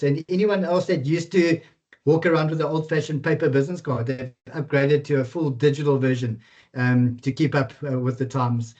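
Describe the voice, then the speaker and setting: A man casually presenting his business software on a webinar, with many ums and uhs. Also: a little high. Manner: casual, filler-heavy. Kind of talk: product presentation. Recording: dull lo-fi video-call audio.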